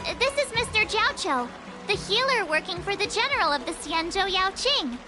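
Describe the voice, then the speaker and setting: A woman's voice: extremely high pitch, big pitch swings, normal speed, music in the background. A woman playing a sweet, perky, curious teenage girl apprentice in a video game, with a cute, earnest way of talking. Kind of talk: scripted video game voice acting.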